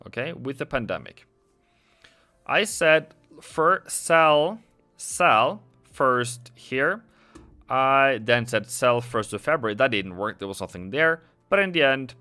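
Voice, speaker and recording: Man, casual livestream host, jokey and sarcastic, crisp bright voice. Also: mid-range voice. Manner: chatty, sarcastic, jokey with viewers.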